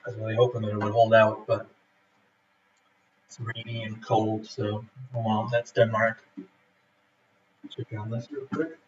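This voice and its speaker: A man's voice: lowish voice, level tone, slight background noise. Rambling man on a lo-fi live stream. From Michigan, USA. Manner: rambling, halting, many fillers, laughs often. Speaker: homebrewer livestreaming a brew day. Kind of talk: casual live-stream chat. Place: home brewing setup in Denmark, rainy day. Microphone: streaming camera and laptop. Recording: roomy, distant, dull lo-fi sound with faint ambience.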